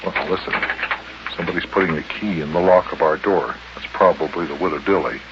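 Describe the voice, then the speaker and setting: Actor as a low-voiced, courteous gentleman. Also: brisk, lightly acted. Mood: cautious. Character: Big Jon, kindly courteous grown-up man. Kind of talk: 1950s children's radio serial acting. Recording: dull, noisy old radio recording.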